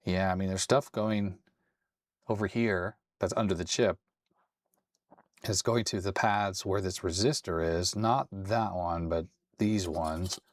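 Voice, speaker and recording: Slightly hoarse man thinking aloud. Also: low voice, dry recording. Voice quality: raspy. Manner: thinking aloud, tentative and self-deprecating. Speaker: host. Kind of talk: casual hands-on tech walkthrough.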